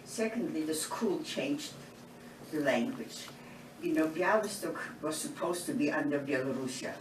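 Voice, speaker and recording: A woman's voice: sits low, lively intonation. Elderly woman, far from the mic. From eastern Poland. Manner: unhurried storytelling with frequent restarts and asides. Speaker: Holocaust survivor interviewee. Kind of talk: oral history testimony. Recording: roomy, distant mic, background noise.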